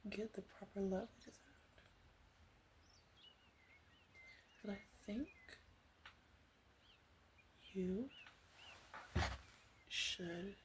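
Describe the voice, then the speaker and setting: A woman playing a caring, teasing witch in a soft ASMR roleplay voice that slips into whispers. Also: on the low side, slight background noise. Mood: tender, consoling. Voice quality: soft, breathy, slipping into whisper. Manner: gentle, unhurried, playfully teasing. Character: female witch, flirty, caring, teasing potion seller.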